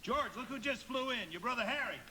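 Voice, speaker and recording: A man acting an excitable, marveling family friend in a live radio play from a 1940s script. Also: very high voice, fairly quick, noisy background. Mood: jubilant. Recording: live stage with crowd behind.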